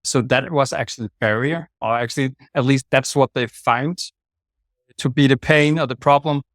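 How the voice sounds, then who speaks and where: A man's voice: mid-range voice, moderate pace, a bit bright, no echo, studio quality. A man with a Danish accent, a sales manager giving direct, plain-spoken answers as an interview guest over a video call. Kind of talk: casual interview talk.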